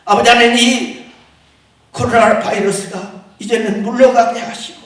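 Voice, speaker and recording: A man leading a fervent public prayer at a church service, calling out to God over and over and swinging from loud pleas to hushed ones. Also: very high-pitched, fairly even intonation, normal speed. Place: large echoey church hall. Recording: very echoey hall, heard from far off.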